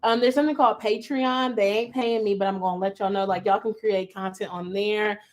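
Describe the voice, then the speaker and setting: A woman hosts a relaxed podcast conversation, reading out listener questions in a playful, easygoing way. She sits at a middling pitch and sprinkles her talk with "um" and "you know". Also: fairly quick. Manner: playful, reads listener questions, frequent "um" fillers. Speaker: podcast host. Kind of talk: casual podcast chat.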